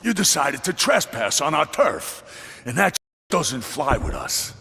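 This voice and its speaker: A man's voice: medium pitch, huge pitch swings, slow pace, vocal fry, big-room sound, noisy background, full character voice. An actor playing a brash male street criminal in a video game, sneering and taunting. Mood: menacing.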